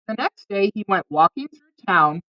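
A woman's voice: mid-range voice, animated, normal speed, lo-fi sound. A woman reading a children's storybook aloud expressively, with dramatic emphasis on key words. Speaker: storybook reader.

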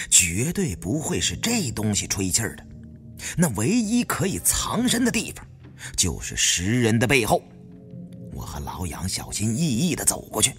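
A man narrating an audiobook as dramatic first-person storytelling. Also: on the low side.

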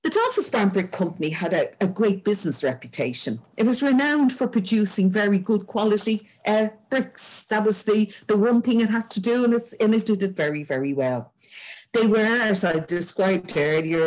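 An older woman with an Irish accent and a husky voice, giving an informal local history talk over a video call from home. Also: mid-range voice, expressive, moderate pace, darkish tone, rough recording. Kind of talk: informal illustrated history lecture. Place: indoors at home. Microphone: webcam video call.

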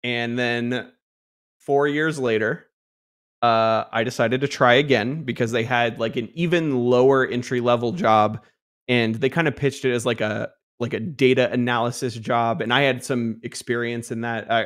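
A man's voice: medium pitch, steady tone, moderate pace, fairly bright tone. Young man, hesitant and filler-heavy, on a headset call. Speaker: guest, community team member. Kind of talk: casual podcast chat. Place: home room, indoors. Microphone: headset over ears, video call.

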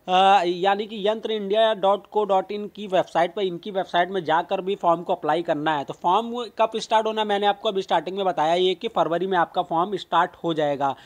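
Male host with a high voice, loose and chatty, reads out a recruitment notice over a screen recording. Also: fairly even intonation, a little fast. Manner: casual, filler-heavy reading with frequent restarts; mixes English phrases into Hindi talk. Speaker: job news channel host.

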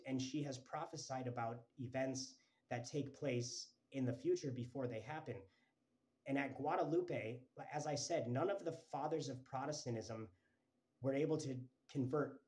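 A man in his thirties speaks straight into a phone held close to his face in a small room at home. His delivery is fervent and rambling, stringing claims together with restarts and "and"s, at an ordinary middle pitch. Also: darkish tone, low-quality recording. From Twin Cities, Minnesota. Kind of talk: earnest religious monologue to camera. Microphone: phone held close, selfie framing.

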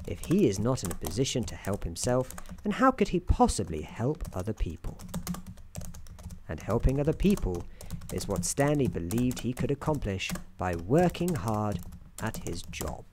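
A soft-spoken woman narrating a scripted ASMR roleplay in a measured, deliberate, theatrical storyteller manner. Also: extremely low pitch, big pitch swings. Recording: keyboard clicks and faint noise. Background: computer keyboard typing.

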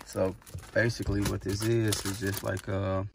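A man's voice: on the low side, little pitch movement, normal speed, gravelly, background noise. A man in his thirties vlogging casually to a handheld camera on his driveway and in his garage, rambling with plenty of fillers. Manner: loose, rambling, lots of fillers. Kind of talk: casual vlog talk to camera. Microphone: handheld camera with foam mic.

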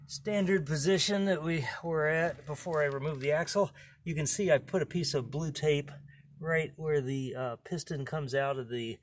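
A man's voice: a little high, a little hiss or hum. A man narrating his own car repair in a casual how-to style, plain-spoken and wry, with many fillers and restarts. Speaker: home mechanic showing a car repair.

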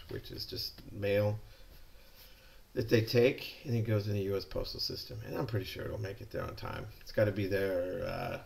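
A man's voice: on the low side, gravelly. A middle-aged American man from California, a yo-yo shop owner, chatting casually and enthusiastically while unboxing products on a desk camera indoors. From California, USA.

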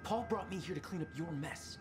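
A man's voice: on the high side, fairly quick, music in the background, natural voice. An actor playing a tense, defiant man on the run in a video game, speaking in urgent, blunt bursts. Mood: bitter. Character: Jack, tense, defiant younger brother on the run.

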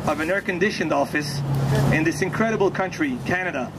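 A middle-aged man with a high voice delivers a prepared rally speech outdoors. He is earnest and deliberate, building his points phrase by phrase. Heavy city noise and traffic sit behind his handheld microphone. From Morocco. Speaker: humanitarian organization founder, rally speaker. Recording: noisy outdoor street recording. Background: loud street traffic noise.

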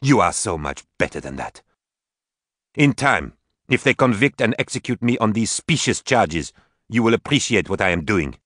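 Need a man doing a proud jailed mom, formal and measured, kinda low voice. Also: sing-song, fairly bright tone, no echo, studio quality. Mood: defiant. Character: proud imprisoned mother on trial, older woman, righteous and unbending.

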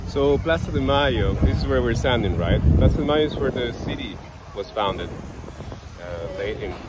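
A man's voice: mid-range voice, animated, normal speed. A man, explaining things casually as a tour guide outdoors. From Ecuador. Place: open city plaza outdoors. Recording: loud outdoor street noise.

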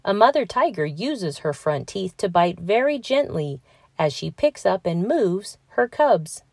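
A woman narrating a children's picture book aloud in a scripted read-aloud style, with clearly enunciated words and expressive emphasis. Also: medium pitch, a little slow, no echo, clean studio sound.

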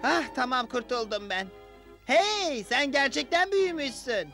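Very high-voiced little cartoon creature. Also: male voice, big pitch swings, a little slow, heavily acted. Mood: relieved. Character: blunt, practical little male blue creature. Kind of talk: cartoon dub acting. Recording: music behind the voice.

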